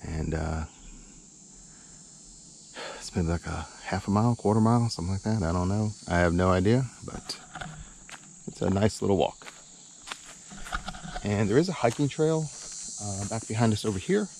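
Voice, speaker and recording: A man's voice: on the low side, normal speed, vocal fry, long pauses, darkish tone. A man narrating a casual camping vlog outdoors, rambling and hesitant, with easy chuckles. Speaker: channel host. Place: outdoors at a campsite. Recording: outdoor background noise.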